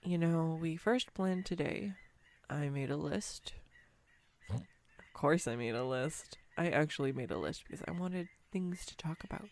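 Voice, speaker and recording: An unhurried, fairly low voice for a woman acting as an awkward young woman on a date. She is earnest, apologetic and sweetly self-deprecating, speaking intimately to one listener in a romantic audio roleplay. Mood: tender, sheepish.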